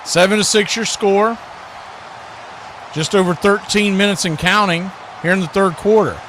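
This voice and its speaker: A man's voice: high-pitched, normal speed, a bit bright. A man doing live radio play-by-play commentary of a football game as the announcer. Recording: clearly noisy live broadcast.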